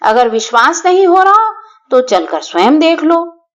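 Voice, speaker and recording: Woman voicing a shrill, commanding rabbit envoy. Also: big pitch swings, unhurried, dull audio. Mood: commanding, threatening. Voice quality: shrill, harsh. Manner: loud, imperious proclaiming. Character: bold rabbit envoy, commanding, haughty and threatening. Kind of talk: children's storybook character voice.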